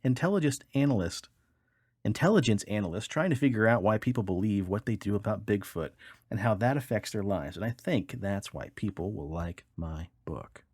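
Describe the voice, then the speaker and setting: A man's voice: fairly low pitch, moderate pace, a little mellow, dry recording. A man co-hosting a podcast, reading odd news stories aloud with casual, wry, joking commentary. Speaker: podcast co-host.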